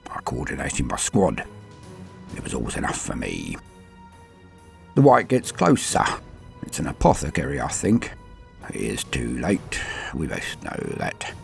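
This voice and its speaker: An extremely deep, heavily creaking voice of a battle-worn, dying sergeant as acted by a man. He is stoic and proud, halting and solemn, swinging between murmur and force in a sci-fi audio drama over music. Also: huge pitch swings, full character voice. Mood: fading, resigned. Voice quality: very deep gravelly voice. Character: dying veteran space-marine sergeant, stoic, proud, dutiful, centuries old. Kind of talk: scripted first-person audio drama monologue.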